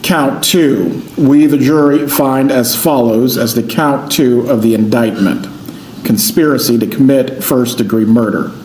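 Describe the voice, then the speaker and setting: A man with an American accent speaking as a judge in formal court proceedings, in a measured, deliberate way. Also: mid-range voice, darkish tone. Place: indoor courtroom. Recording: roomy courtroom sound with faint noise.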